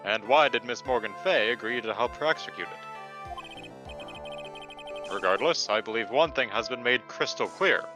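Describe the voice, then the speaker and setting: A man voicing a slow, befuddled elderly courtroom judge in a comic fan dub. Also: mid-range voice, animated, close to an everyday voice. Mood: deadpan, ponderous. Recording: music under the voice.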